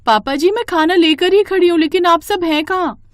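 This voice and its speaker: A woman voicing a fussy, exasperated young daughter-in-law in an animated storybook tale, with a whiny, protesting manner. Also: very high-pitched, moderate pace, dry recording, studio quality, pitched above the performer's own voice. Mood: puzzled, exasperated. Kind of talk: animated Hindi storybook dialogue.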